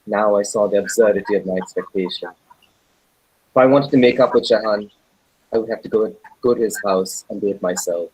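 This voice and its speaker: A man reading a novel aloud as an actor, in a deliberate, earnest, dramatic narration. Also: on the low side, a little mellow, husky, no room sound, low-quality recording.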